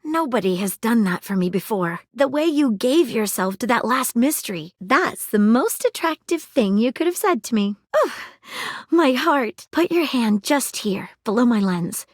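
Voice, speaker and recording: A woman's voice: on the high side, lively intonation, normal speed, dry recording, clean studio sound. A woman voicing an earnest, eager magnifying glass detective in a video game, polite and precise, with an awkwardly romantic streak. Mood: smitten, tender. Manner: precise, polite, earnest, slightly formal phrasing. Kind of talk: video game character voice acting.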